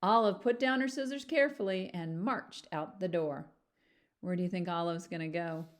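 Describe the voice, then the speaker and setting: A woman reading a picture book aloud like a teacher, animated, with chatty asides and questions to young listeners. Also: lowish voice, moderate pace. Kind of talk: children's storybook read-aloud with chatty asides.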